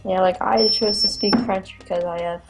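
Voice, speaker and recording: A man's voice: high-pitched, steady tone, normal speed, vocal fry, music in the background, low-quality recording. A male co-host chatting casually over a video game, playful and chiming in with jokes. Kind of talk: casual let's-play chat.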